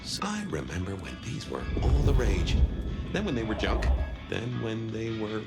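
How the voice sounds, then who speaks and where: Jaded vampire detective, game noir narration, deep voice, dry n wry, loud music under it. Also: male voice, very animated, moderate pace, vocal fry. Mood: wry, nostalgic. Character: world-weary, sardonic old vampire detective narrating his memories.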